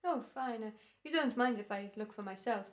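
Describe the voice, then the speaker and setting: A woman's voice: on the high side, natural voice. A woman playing a courteous, sinister, knowingly amused doctor in an old-time radio drama. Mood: sly, pleased. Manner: polite, measured, knowingly amused. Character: suave, sinister, courteous doctor scheming over murder.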